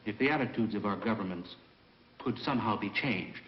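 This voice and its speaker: An actor playing a composed, obliging space station official in a dubbed old sci-fi film, formal and measured. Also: male voice, on the low side, slight background noise, lightly acted. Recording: roomy, dull old film soundtrack, music.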